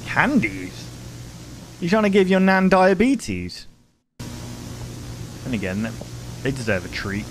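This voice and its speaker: A man in his twenties talks casually into a close desk mic with music playing behind him. His reaction commentary is conversational and wandering, and he often breaks off and restarts his own sentences. His pitch sits in the middle for a man. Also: sing-song, slow pace, pauses often, low-quality recording. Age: 20s. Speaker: reaction video host. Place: small home room, indoors. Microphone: close desk microphone with headphones.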